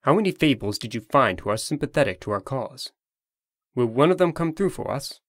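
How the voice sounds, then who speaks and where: A man's voice: medium pitch, animated, brisk, dry recording, studio quality, natural voice. A man voicing an indignant, scheming talking farm animal in a theatrical, emphatic manner for a comic dub.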